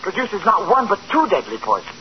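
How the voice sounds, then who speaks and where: An actor playing a sickly, poison-obsessed young invalid in a 1940s radio drama, with a sinister, relishing manner. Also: male voice, high-pitched, wildly swooping pitch, fairly quick, slight background noise. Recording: dull lo-fi old radio broadcast.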